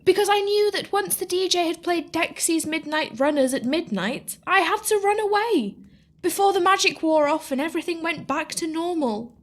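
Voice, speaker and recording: A woman's voice: very high-pitched, animated, normal speed. A woman playing a sweet, eager young servant heroine in an audio pantomime. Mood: confessional.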